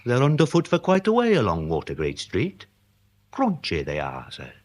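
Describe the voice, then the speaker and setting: A man's voice: mid-range voice, wildly swooping pitch, moderate pace. A plodding English police sergeant in a radio mystery. He reports with literal-minded formality and deference, his medium voice rising and dropping markedly. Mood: solemn reporting. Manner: stolid, over-formal, deferential. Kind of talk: British radio comedy-mystery drama acting.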